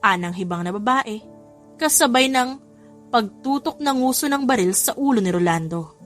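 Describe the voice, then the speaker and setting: A woman's voice: fairly high pitch, lively intonation, moderate pace. A woman with a Filipino accent giving a scripted, dramatic story narration, with music behind her.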